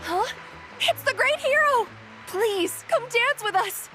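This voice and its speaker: A woman's voice: extremely high pitch, animated, slow pace, sharp tone, faint music behind, heavily acted, rough recording. A woman playing an eager young female admirer, gushing in a video game cutscene. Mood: excited.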